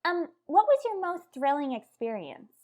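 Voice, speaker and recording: A woman's voice: high voice, very animated, normal speed, darkish tone. A woman doing a gushing, naive tavern girl. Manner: chatty, wide-eyed, slangy. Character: naive gossipy adult woman, tavern owner's daughter. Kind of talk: old-time radio comedy acting.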